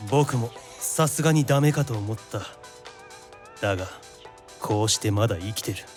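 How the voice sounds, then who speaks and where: An actor playing a haughty, dry-witted young detective in an audio drama, giving orders in a brisk deadpan. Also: male voice, lowish voice, soft background music, lightly acted. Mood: matter-of-fact. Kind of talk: light audio drama acting.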